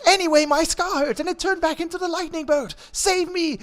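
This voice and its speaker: A man's voice: extremely high pitch, steady tone, brisk, a bit bright, voice pushed up. A man voicing an overwrought, panicky teenage vampire boy wizard in an urgent, melodramatic way. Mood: panicked. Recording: music behind the voice.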